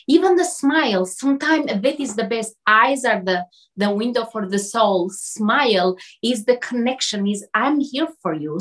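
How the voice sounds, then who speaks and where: A woman from Albania with an Albanian accent, an interview guest and coach giving earnest motivational talk in short emphatic phrases. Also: on the high side.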